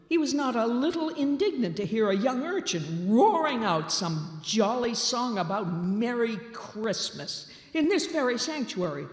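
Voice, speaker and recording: Slow, deliberate woman storyteller. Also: fairly high pitch. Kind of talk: dramatic reading of a classic story. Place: large town hall stage, live show. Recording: roomy hall reverb.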